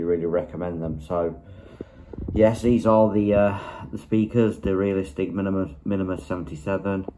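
A man's voice: sits low, warm tone, slight background noise, rough recording. A man giving a casual, unscripted product review in a hesitant, conversational way, recorded indoors on a phone. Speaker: video channel host, product reviewer. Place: small room indoors. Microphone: phone microphone, held behind the camera.

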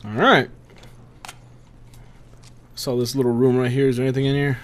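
A man's voice: mid-range voice, flat delivery, moderate pace. A young man giving casual gameplay commentary, muttering to himself and swearing freely. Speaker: gamer recording a playthrough.

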